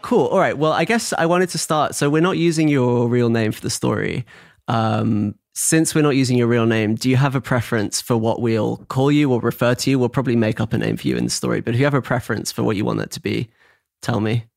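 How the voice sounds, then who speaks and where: A man working as producer and interviewer, asking casual questions in a relaxed, friendly way. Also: medium pitch, a little fast, vocal fry, no echo, studio quality.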